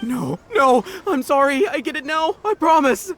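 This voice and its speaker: A performer playing a nervous, cowardly young male gang underling in a video game, stammering and pleading excitably. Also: high voice, moderate pace, slight background noise. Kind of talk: scripted video game voice acting.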